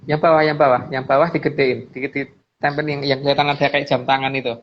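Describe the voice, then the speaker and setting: A man's voice: medium pitch, level tone, fast talker. A man with an Indonesian accent explaining slides informally, emphatically repeating his phrases. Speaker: guest speaker explaining slides. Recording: dull lo-fi audio with background noise.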